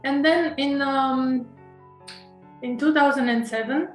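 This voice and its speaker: A woman's voice: high voice, slow, roomy sound, soft background music, poor audio. A woman from Romania with a Romanian accent, an author being interviewed, speaking hesitantly with many fillers, restarts and nervous laughs. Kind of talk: casual interview conversation.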